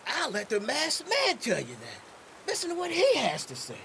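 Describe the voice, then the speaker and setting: An actor playing an excitable small-town sheriff in an old-time radio western. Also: male voice, very high-pitched, moderate pace, a crowd in the background, full character voice. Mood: reassuring, commanding.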